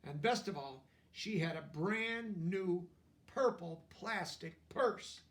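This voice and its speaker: A man's voice: high voice, lively intonation, slow pace, a bit bright, distant mic. A man reading a picture book aloud expressively, with explanatory asides for young listeners.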